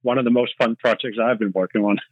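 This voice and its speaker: A man's voice: mid-range voice, fairly even intonation, fairly quick, no echo. Danish guy on a podcast, um-ing and restarting a lot, dead dry muffled audio. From Denmark. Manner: hesitant, thinks aloud with many fillers and restarts. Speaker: guest, marketing director. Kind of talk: casual podcast interview.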